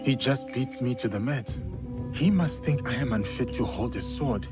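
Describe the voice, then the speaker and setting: An actor playing an earnest, measured and respectful foreign samurai warrior in training, in a video game cutscene. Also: male voice, medium pitch, close to an everyday voice. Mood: frustrated, troubled. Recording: game music behind the voice.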